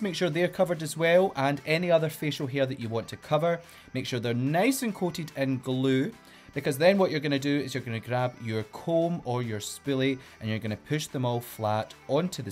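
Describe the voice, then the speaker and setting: A man's voice: mid-range voice, moderate pace, darkish tone, faint music behind. A man with a Glaswegian Scottish accent, a drag queen hosting a makeup tutorial in an upbeat, playful way and giving step-by-step instructions. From Glasgow, Scotland.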